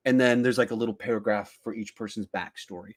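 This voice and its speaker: A man's voice: lowish voice, fairly even intonation, fast. A man in his forties hosting a podcast, talking casually and explaining his points with emphasis on key words. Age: 40s. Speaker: podcast host. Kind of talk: casual film discussion podcast.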